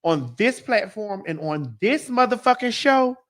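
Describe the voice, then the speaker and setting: A talk-show host ranting in heated, profane, emphatic casual talk. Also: female voice, medium pitch, big pitch swings, measured pace.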